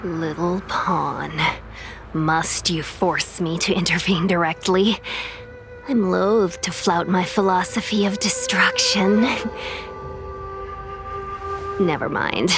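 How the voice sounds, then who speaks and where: Haughty composed anime game woman, slow n formal, quietly menacing, light music behind. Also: lowish voice, fairly even intonation. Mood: cold, disdainful. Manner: poised, formal, deliberate.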